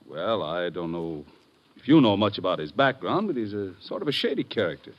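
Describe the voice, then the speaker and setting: Fast-talking, lively American newsman. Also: lowish voice. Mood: breezy, probing. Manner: casual, colloquial. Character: chatty, probing American newspaper correspondent. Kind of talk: old-time radio drama acting. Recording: dull vintage radio recording.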